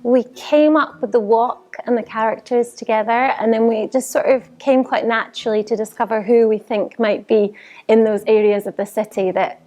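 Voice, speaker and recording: A woman's voice: medium pitch, a little mellow. A woman, a writer, casually explaining a creative process in an interview.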